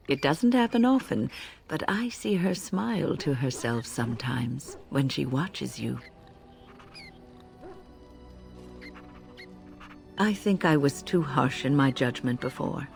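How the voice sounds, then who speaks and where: An actress playing a wise, gentle elderly female mentor in a video game, speaking in a measured, reflective way. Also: rumbling low, expressive, vocal fry, faint music behind, full character voice. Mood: apologetic. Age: older. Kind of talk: video game character acting.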